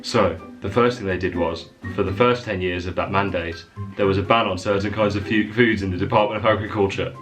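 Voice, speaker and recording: A man's voice: low-pitched, steady tone, a little fast, a bit bright, faint music behind. A man reading short stories aloud in a jokey dramatic way, often breaking into laughter mid-sentence. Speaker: vlogger.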